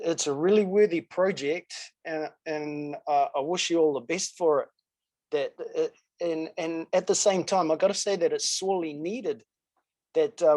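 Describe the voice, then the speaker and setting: A man's voice: on the high side, a little mellow, no echo. Male interviewer in a very dry recording. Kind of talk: conversational interview.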